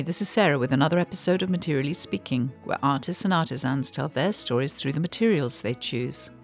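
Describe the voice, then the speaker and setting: A woman hosting a podcast, reading calm, measured scripted narration. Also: sits low. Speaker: podcast host. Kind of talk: scripted narration and interview questions.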